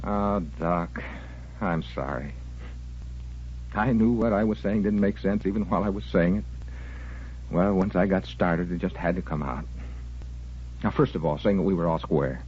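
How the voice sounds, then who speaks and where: An actor playing a blunt, halting, self-deprecating veteran in a 1940s-style radio soap. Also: male voice, low-pitched, a little hiss or hum, lightly acted. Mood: apologetic, earnest. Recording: muffled vintage radio drama recording.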